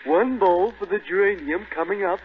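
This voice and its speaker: A man's voice: sits high, a little fast, lightly acted. An actor playing a pompous, theatrical cartoon man who declaims in flowery phrases, in 1930s radio style. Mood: grandiose. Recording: tinny, noisy 1930s radio recording.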